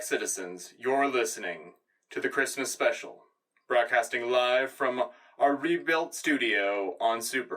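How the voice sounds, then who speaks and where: A man's voice: medium pitch, pushed and bright, far from the mic, poor audio. A man playing a polished, grandiose propaganda radio news anchor in a science fiction war drama, reading scripted broadcast narration. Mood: welcoming, grandiose. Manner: polished, grandiose announcer delivery. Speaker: radio show host.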